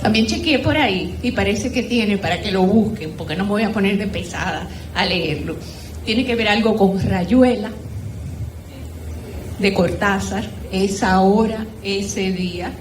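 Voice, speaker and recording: A woman's voice: medium pitch, measured pace. A woman with a Dominican accent speaking informally and conversationally as a participant at a book gathering. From Dominican Republic. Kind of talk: informal spoken commentary at a literary gathering. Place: echoey room at a live group gathering. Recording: roomy echo, faint background noise.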